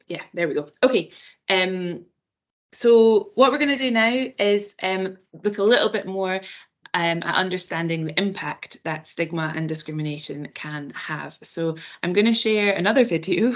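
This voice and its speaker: A woman with a Scottish accent presenting a training session, chatty and loose, with lots of filler ums. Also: low-pitched.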